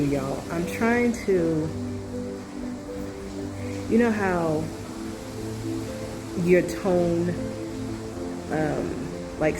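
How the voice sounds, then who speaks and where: A woman with a low voice, stuffed up and nasal from a cold, talks casually to her viewers. She drifts into side notes and fillers as she goes. Music plays clearly under her voice. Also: poor audio. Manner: rambling, full of fillers and asides. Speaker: video commentator. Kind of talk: casual reaction video talk.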